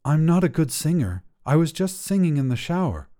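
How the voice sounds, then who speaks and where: An unimpressed young woman from a short story , read by a man, curt and skeptical. The voice is mellow, with a dismissive manner and moving pitch. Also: sing-song, moderate pace.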